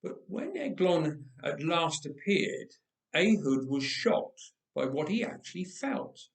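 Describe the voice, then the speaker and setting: A man reading a story aloud in a dramatic, expressive, measured way with deliberate pauses. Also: on the high side, distant mic. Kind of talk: dramatic scripted story narration. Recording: roomy, echoing indoor sound.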